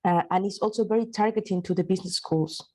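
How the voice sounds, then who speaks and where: A woman's voice: medium pitch, quick pace, a little mellow. A woman from Mexico speaking in an online workshop discussion, hesitant, with many restarts and fillers. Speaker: workshop participant, university staff.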